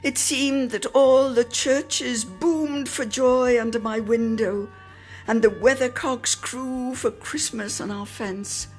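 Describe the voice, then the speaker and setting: Female dramatic reader for literary memoir: highish voice, unhurried, theatrical delivery with drawn-out phrases. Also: steady tone, faint music behind. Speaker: solo reader.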